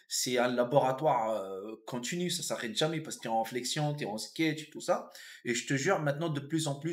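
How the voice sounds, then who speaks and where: Tunisian guy in his 50s chatting about his career, thinks out loud, lots of restarts and ums. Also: medium pitch. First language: Arabic. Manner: reflective, repeats and restarts words, many fillers. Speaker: guest, comedian and actor. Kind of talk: casual interview storytelling.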